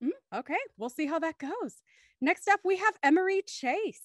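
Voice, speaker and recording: A woman's voice: very high-pitched, lively intonation, moderate pace, no room sound. A young woman hosting a livestream show in an upbeat presenting style, speaking into a studio microphone. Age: young adult. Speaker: show host. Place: small room at home, video call. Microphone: studio microphone on a stand, headphones.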